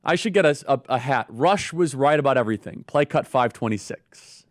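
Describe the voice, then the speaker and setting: A man's voice: mid-range voice, lively intonation, fairly quick, no echo, clean studio sound. A man hosting a talk show, emphatic and enthusiastic as he puts questions to a guest. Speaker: show host.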